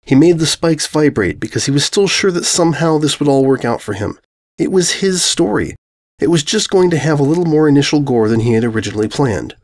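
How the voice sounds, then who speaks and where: A man's voice: on the high side, animated, a little fast, darkish tone. A man reading scripted fan fiction aloud as a dramatic narrator.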